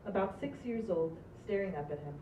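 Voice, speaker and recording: Female stage-directions reader, mid pitch, dull echoey recording from far off. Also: lively intonation, a little fast, dark tone. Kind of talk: live script reading. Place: small stage in a room. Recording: distant, echoey, dull recording with clear noise.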